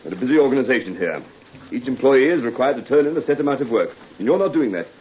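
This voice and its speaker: An actor in an old-time radio drama playing a cold, scheming office boss, curt and formal in manner. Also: male voice, medium pitch, lively intonation, fast, a little mellow, lightly acted. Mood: stern. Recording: dull, lightly noisy old radio recording.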